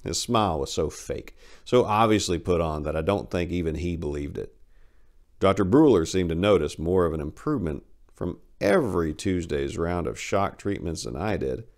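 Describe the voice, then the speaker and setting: A man with a very deep voice hosts and narrates dramatic readings. His pitch moves widely as he goes, and his delivery is theatrical and emphatic. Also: animated, moderate pace, a little mellow. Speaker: channel host, author reading stories. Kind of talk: scripted introduction and dramatic narration.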